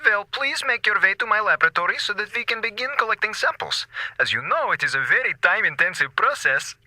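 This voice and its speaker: An actor playing a polite, insistent space station doctor with formal, precise phrasing in a radio drama. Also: male voice, high voice, big pitch swings, a little fast, bright, forceful tone, no echo, exaggerated voice. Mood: politely insistent.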